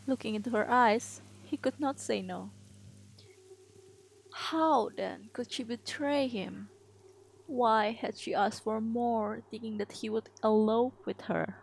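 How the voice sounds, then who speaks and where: A woman narrating a story as a dramatic scripted reading, deliberate and theatrical. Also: on the high side, gravelly, a little hiss or hum.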